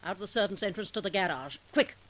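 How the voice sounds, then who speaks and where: An actress playing a domineering, scheming murderess in 1940s radio drama style, commanding and coldly coaxing in a melodramatic way. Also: female voice, medium pitch, fast talker, close to an everyday voice. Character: domineering, scheming murderous woman controlling a weaker man. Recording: hissy, noisy 1940s radio recording.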